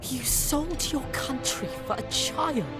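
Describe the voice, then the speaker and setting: A woman's voice: sits high, slow pace. A woman voicing a steadfast, protective young warrior companion, resolute and terse, in a video game cutscene. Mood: cold, accusing. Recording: loud game music covering voice. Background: loud game music.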